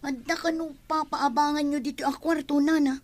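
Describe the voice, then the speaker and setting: A woman's voice: high voice, steady tone, moderate pace, lightly acted. An actress playing a polite, pleading young woman in a radio drama. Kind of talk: Ilocano radio drama acting.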